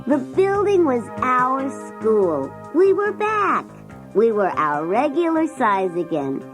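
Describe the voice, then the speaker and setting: A woman's voice: sits high, very animated, takes it slowly, music in the background, exaggerated voice. A woman playing a schoolchild who narrates class adventures with wonder in a children's story. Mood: wide-eyed recounting.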